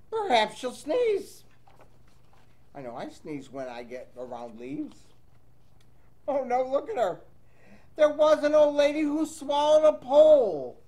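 A man's voice: very high voice, warm tone. A man reading a children's storybook aloud in a playful, sing-song way, with chatty asides to young listeners. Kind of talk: animated read-aloud to children with casual asides.

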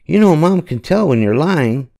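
A man's voice: on the high side, big pitch swings, moderate pace, gravelly, dark tone, pitched above the performer's own voice. A man voicing a bossy, dramatic little girl aardvark who tattles, in a children's storybook. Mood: taunting.

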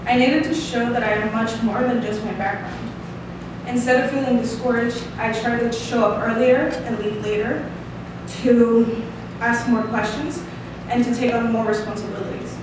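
A young woman from Costa Rica speaks English with a Costa Rican Spanish accent. She reads a prepared speech earnestly, her voice a touch high. She sounds distant from the mic in a very echoey room with a steady hiss underneath. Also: normal speed. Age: 20s. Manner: earnest, reading aloud with hand gestures, light laughs. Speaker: student speaker. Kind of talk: prepared showcase speech read from paper. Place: small room, standing at a lectern. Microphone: stand mic at some distance.

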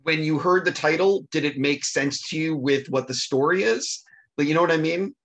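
A man interviewing an actor over a video call, asking quick, friendly questions in casual slang. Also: on the high side, a bit bright.

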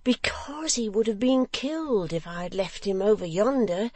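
A woman voicing an eager, simple-minded young man with childlike trust in an audiobook, in an excitable, wondering singsong. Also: mid-range voice, normal speed, no echo, voice pushed up, close to an everyday voice. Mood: earnest, proud. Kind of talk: audiobook character acting.

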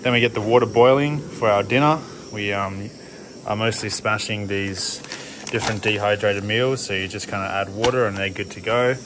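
A man's voice: on the low side, fairly even intonation, moderate pace, noisy background. A young Australian man vlogging casually to camera while out on a bush hiking trail, upbeat and chatty. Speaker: hiker vlogger. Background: music.

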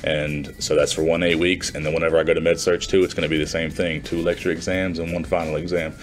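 A man's voice: very deep voice, level tone, quick pace, slight background noise. A man in his twenties talking informally and conversationally to camera, like a student vlogger in a small room. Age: 20s. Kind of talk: casual vlog talk to camera. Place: small plain indoor room.

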